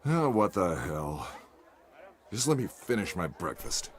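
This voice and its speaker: An actor playing a cynical, world-weary adventurer for hire in a video game, with a wry, sardonic way of talking. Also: male voice, low-pitched, very animated, a little slow, a little hiss or hum. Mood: dry, reluctant. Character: cynical mercenary adventurer man, wry and world-weary, living off his family name. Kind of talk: video game acting and scripted narration. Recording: loud music behind voice.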